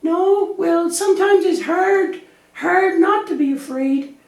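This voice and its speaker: A woman's voice: very high voice, slow pace, a little mellow, heavily acted. A woman voicing an anxious, childlike little lamb puppet in a children's church show, naive, earnest and chatty. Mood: anxious, worried. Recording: echoey room, distant microphone.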